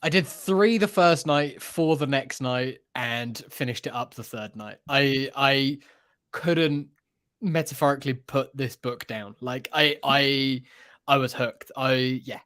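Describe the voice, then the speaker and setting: A man with a medium-pitched voice chats casually on a podcast. He is enthusiastic and rambles from one thought into the next, as a panelist in an easy discussion. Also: slow pace, fairly bright tone. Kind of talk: casual chat about a radio drama.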